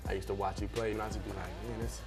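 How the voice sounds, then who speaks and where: A man's voice: on the low side, flat delivery, rapid-fire. A seventeen-year-old man chatting casually and slangily in an interview as a basketball player, often repeating his phrases. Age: teenager, seventeen. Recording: music bed behind the voice.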